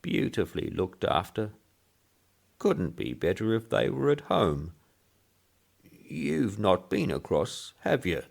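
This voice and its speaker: A man's voice: fairly low pitch, sing-song, slow pace, creaky, pauses often, pitched above the performer's own voice, exaggerated voice. Guy putting on a rambly old english fella for an audiobook, thin piping wobbly voice, takes his time. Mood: chatty, pleased. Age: older. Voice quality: piping, quavering. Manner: rambling, complaining gossip. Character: frail old Englishman, chatty, pleased, mildly indignant. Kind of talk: short story character voice.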